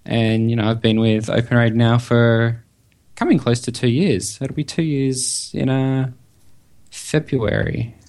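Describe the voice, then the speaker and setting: A man's voice: mid-range voice, steady tone, a little slow, a little mellow. Australian man chatting as a podcast guest. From Perth, Western Australia. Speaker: podcast guest, website developer. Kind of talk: casual interview chat.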